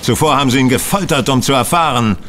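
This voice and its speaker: An actor playing a composed, stern gentleman detective who questions a culprit formally and incisively in an audio drama. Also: mid-range voice, quick pace, faint music behind, close to an everyday voice. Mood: probing, accusing. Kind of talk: German radio play acting.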